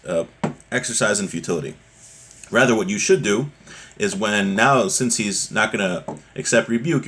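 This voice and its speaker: A man's voice: lowish voice, fairly bright tone, breathy edge. A man giving a religious lesson, reading from a text and explaining it conversationally with rhetorical questions. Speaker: religious teacher.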